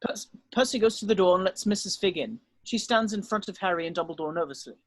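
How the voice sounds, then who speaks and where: High-pitched man narrating, dry sound. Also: expressive, fast talker, no echo, rough recording. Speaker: narrator reading stage directions. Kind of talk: scripted narration in a table read.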